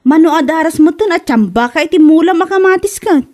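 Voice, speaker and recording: Woman as nagging older sister, Ilocano accent, high animated voice, blunt, skeptical, comedy audio drama. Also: fairly quick. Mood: blunt, teasing.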